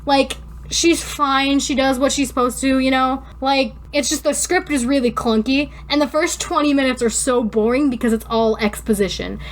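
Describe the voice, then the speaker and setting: High voiced girl podcast cohost, chatty and giggly, just rambling casually. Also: steady tone, moderate pace. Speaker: podcast co-host. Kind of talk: casual banter.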